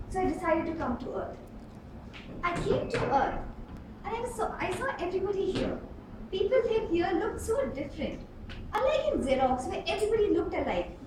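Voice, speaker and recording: A woman giving a rehearsed storytelling speech at a club contest, with an emphatic, theatrical delivery and dramatic pauses. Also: extremely high pitch. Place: indoor club meeting room. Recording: roomy, distant mic with clear background noise.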